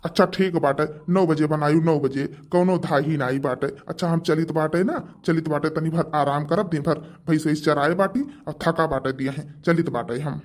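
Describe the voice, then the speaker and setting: Man playing a bossy old village husband, highish voice. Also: moderate pace, hardly any pauses, close to an everyday voice. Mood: insistent. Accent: Awadhi. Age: older. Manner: insistent, ordering. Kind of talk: homemade cartoon dialogue in rural dialect.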